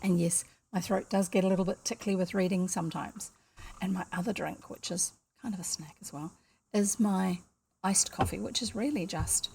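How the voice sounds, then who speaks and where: An older New Zealand woman reads aloud in a relaxed, meandering way, breaking off to explain things as she goes. Her voice has a gravelly, husky edge. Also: medium pitch. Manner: chatty, rambling, interrupts herself to explain. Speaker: storyteller reading books aloud. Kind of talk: casual read-aloud with chatty asides. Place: home desk with a computer.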